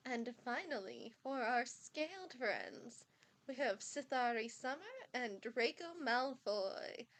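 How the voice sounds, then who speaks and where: A woman's voice: fairly high pitch, animated, takes it slowly, fairly bright tone, slight background noise, heavily acted. A woman playing a stern but kindly older witch professor, formally addressing pupils in an amateur audio drama. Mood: ceremonial.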